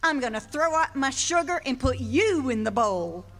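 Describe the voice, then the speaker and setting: A woman's voice: high-pitched, big pitch swings, moderate pace. A woman playing a brash, pushy sister. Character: brash wisecracking sister selling cards. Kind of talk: 1940s radio acting. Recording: live stage in a hall.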